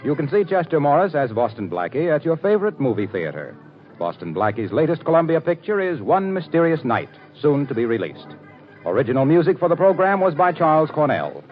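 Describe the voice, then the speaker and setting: Vintage radio pitchman, male, lively swooping intonation, polished upbeat delivery, dull archival sound. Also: fairly quick, soft background music. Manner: polished, upbeat sales patter. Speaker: radio announcer. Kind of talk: 1940s radio ad and announcing.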